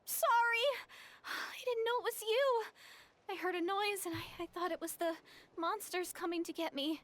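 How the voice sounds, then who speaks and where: A woman's voice: very high voice, normal speed, fairly bright tone. A woman voicing a timid, scared young woman in a video game, speaking hesitantly and apologetically. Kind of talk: game voice acting.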